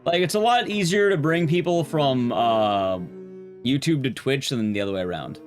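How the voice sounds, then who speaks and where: A man's voice: medium pitch, moderate pace, faint music behind. A man streaming a game, chatting casually in a rambling, blunt, conversational way with his viewers. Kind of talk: casual live-stream chat while gaming.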